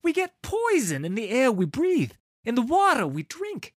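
A man acts out a charismatic young male rebel leader addressing followers in an audiobook. The delivery is deliberate and persuasive, with wide, expressive pitch movement and a dry, close sound. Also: creaky, no room sound, exaggerated voice. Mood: rising fury. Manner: persuasive, deliberate, impassioned speechmaking. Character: gentle young male cult leader turning fierce orator. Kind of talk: dramatised audiobook dialogue.

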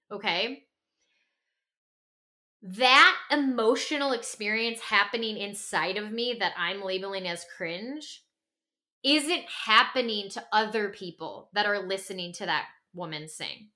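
A woman co-hosting a podcast in animated conversation, emphatic and often checking in with her listener. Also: medium pitch. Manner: acts out imagined reactions in quoted voices. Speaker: podcast co-host.

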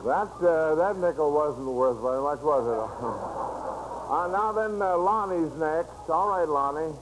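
A fairly high-voiced American man hosts a children's radio programme. He talks in a folksy, hesitant, coaxing manner, feeling his way from phrase to phrase. The old recording has a faint layer of noise. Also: a little slow. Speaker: host of a children's radio show. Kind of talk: unscripted old-time radio hosting.

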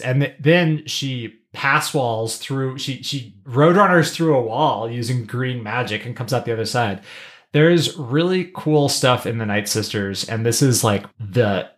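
A podcast co-host chatting casually and explaining things, often restarting sentences along the way. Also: medium pitch. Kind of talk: casual chat about an animated series.

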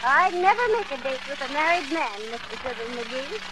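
Scandalized prim lady, very high swooping voice, scolding, vintage radio comedy, heavy noise. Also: lively intonation, fairly quick. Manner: scolding, melodramatic, colloquial. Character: indignant prim flighty woman, self-righteous and easily scandalized. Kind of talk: 1930s-40s radio comedy acting. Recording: very noisy old radio recording.

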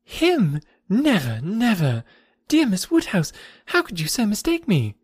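A man voicing an eager, naive, excitable young woman with gushing, emphatic delivery in an audiobook of a classic novel. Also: sits high, moderate pace, dry recording, clean studio sound, voice pushed up. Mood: astonished, indignant.

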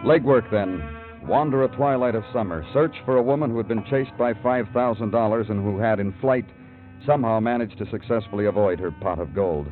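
An actor playing a world-weary New York police detective in a vintage radio crime drama, speaking in a brooding, clipped and lyrical way. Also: male voice, fairly low pitch, a little fast, lightly acted. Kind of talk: 1950s radio noir acting and narration. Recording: dull old radio recording with music.

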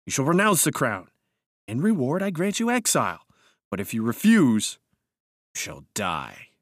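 A man's voice: medium pitch, normal speed, dry recording, clean studio sound, close to an everyday voice. A man voicing a deluded, imperious madman in a storybook reading, grandiose and commanding, with simmering rage. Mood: raging, commanding.

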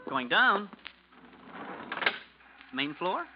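Slangy young elevator operator for vintage radio play: gossipy, insinuating, high and very animated. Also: male voice, very slow, over music, full character voice. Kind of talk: old-time radio drama acting. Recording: muffled, noisy old radio recording.

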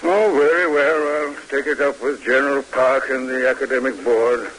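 An actor playing a gruff but fond army colonel and father in a 1950s radio drama, speaking in a halting, old-fashioned, formal way. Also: medium pitch, steady tone, fairly bright tone, close to an everyday voice, poor audio. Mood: wistful, musing. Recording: hissy vintage radio recording.

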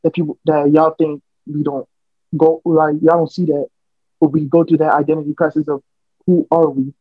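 A young man with an American accent, talking through his thoughts in an earnest, rambling way and restarting often. His voice sits fairly high but stays flat and even. The recording is dull and dry, like a home podcast setup. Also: moderate pace, breathy edge, no room sound, soft background music. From United States. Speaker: podcast co-host. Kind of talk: casual chat.

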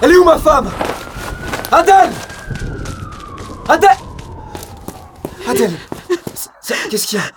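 A man playing a frantic, swearing father desperate about his family in an audio drama, stammering and shouting. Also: very high-pitched, very animated, measured pace, pauses often, background noise, exaggerated voice. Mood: panicked anger. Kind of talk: naturalistic audio drama acting. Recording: busy scene with voices and sirens behind.

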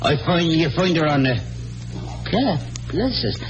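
A wily old Irish country doctor with a very animated, swooping delivery. He blusters and wheedles theatrically in the style of classic radio drama. Music plays clearly in the old broadcast. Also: fairly high pitch, a little slow, low-quality recording. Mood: scheming. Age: older. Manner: wheedling, theatrical, hesitating for effect.

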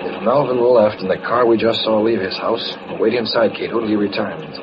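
An actor playing a commanding newspaper boss and crime fighter in American radio drama, brisk and authoritative. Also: male voice, on the low side, close to an everyday voice. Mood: hushed, urgent. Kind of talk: old-time American radio drama acting. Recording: muffled, hissy vintage radio recording.

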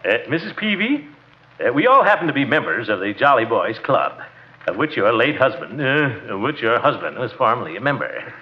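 Pompous, blustering club man played by a male actor, wildly animated delivery, old-time radio comedy, muffled sound. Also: medium pitch, brisk, natural voice. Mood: flustered, formal. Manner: blustering, hemming and hawing. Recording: muffled vintage radio recording.

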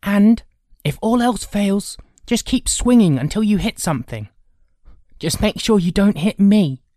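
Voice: mid-range voice, expressive, a little slow, dark tone, no echo, studio quality, pitched above the performer's own voice, natural voice. A performer voicing a bold, cocky older brother, a brash boy fighter in a storybook. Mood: cocky, instructing. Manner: brash, commanding. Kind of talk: audiobook character acting.

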